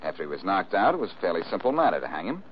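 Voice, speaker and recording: Smart-aleck 1940s radio detective, american, lively delivery, dull hissy old recording. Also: male voice, sits low, very fast. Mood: confident, sardonic. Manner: glib, teasing, self-assured. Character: wisecracking, cool-headed amateur detective man, quick and sardonic. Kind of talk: 1940s radio mystery acting.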